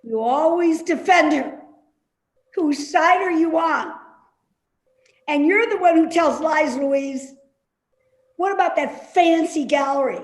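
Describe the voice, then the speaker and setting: Woman doing a cranky suspicious old mom in a stage play, nagging slowly, echoey room. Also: high voice. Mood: accusing. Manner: nagging, indignant, slow and insistent. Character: querulous, suspicious elderly mother, complaining and accusing. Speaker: actor in a readers theater play. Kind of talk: dramatic play reading. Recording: roomy, echoey remote reading.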